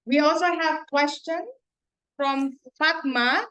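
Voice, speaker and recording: Slow, high-voiced woman moderating a panel. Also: low-quality recording. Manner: hesitant, relaxed, with fillers. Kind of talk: moderating a hybrid panel discussion.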